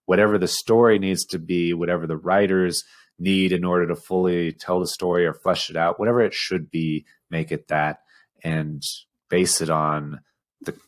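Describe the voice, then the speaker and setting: Male podcast co-host with a low-pitched voice, conversational and opinionated. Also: flat delivery, normal speed. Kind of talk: casual film discussion.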